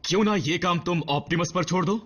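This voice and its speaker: A man playing a grave veteran robot soldier in a dubbed cartoon, telling war history in a measured, solemn way. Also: high voice. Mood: stern. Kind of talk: dubbed animated series dialogue and narration. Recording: muffled sound with loud dramatic music.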